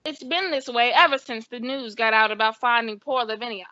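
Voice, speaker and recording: An actress playing a gossipy, harried small-town telephone operator, chatty and brisk, in old-time radio style. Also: female voice, on the high side, steady tone, sharp tone, dry recording, highs cut off.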